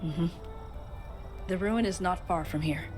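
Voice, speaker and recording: Actress as composed female expedition leader for game dialogue: lower register, thoughtful, soft music behind. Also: a little slow, lightly acted. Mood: calm, observant. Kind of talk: scripted video game dialogue.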